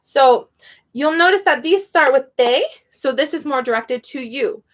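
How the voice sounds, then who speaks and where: A woman's voice: sits high, expressive, a little slow, fairly bright tone. Chatty woman teaching a lesson. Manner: chatty, goes off on tangents, laughs often. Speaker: Spanish teacher. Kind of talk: casual spoken lesson.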